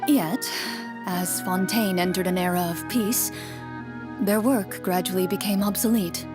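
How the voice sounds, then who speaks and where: A woman playing a composed young swordswoman and game master in a video game, speaking in a measured, formal, matter-of-fact way. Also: medium pitch, fairly even intonation, music in the background, close to an everyday voice. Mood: composed, explanatory.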